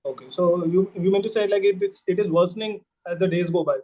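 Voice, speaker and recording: A man's voice: high-pitched, fairly even intonation, fast, mellow, poor audio. A man practising a doctor's consultation, asking history questions politely and formally, with some hesitation. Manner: polite, hesitant, formal, often says ma'am. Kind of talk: role-played clinical history taking.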